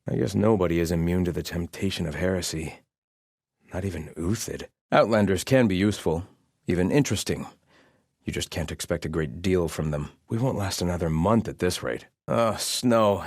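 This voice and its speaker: A man's voice: deep voice, no room sound, clean studio sound, heavily acted. A man playing a haughty, devout exiled soldier in a video game, speaking in a stern, disdainful and formal way. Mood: weary, gloomy. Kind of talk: video game character voice lines.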